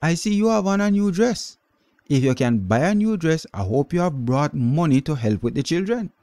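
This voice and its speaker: A sharp, bossy aunt who scolds and begrudges everything, as a male reader puts her on for a storybook. The voice sits well above his own low tone and comes out loud, with the pitch swinging widely. It is close and dry. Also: animated, moderate pace, no echo. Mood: scolding. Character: mean scolding middle-aged aunt, woman.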